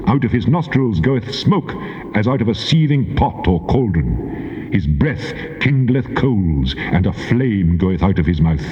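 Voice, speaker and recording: God in bible drama, stern and grand, very swooping delivery, muffled tape. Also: male voice, fairly low pitch, sing-song, unhurried, warm tone. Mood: majestic, awed. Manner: grand, emphatic, declamatory. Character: God, stern and majestic male deity proclaiming in wrath. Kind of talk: dramatized scripture reading, theatrical declamation. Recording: dull tape, long cavernous reverb.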